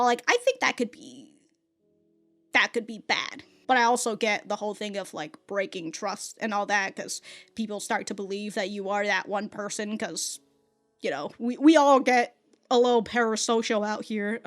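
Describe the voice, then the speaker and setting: Chatty, slangy woman with a higher voice. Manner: chatty, laughs often, loose slang and swearing. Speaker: anime reaction host. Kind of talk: casual commentary.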